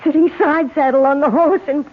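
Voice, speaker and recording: An actress playing a terrified, distraught young wife in an old-time radio drama, speaking in halting, repeated fragments. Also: female voice, sits high, steady tone, lightly acted. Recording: dull, lo-fi old radio broadcast.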